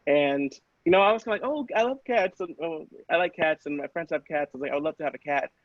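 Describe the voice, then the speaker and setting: A man with a fairly high voice chats casually in a rambling, filler-heavy way. The sound is close and dry, though slightly muffled. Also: fast, no echo. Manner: rambling, peppers talk with "like". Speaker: podcast cast member. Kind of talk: casual chat.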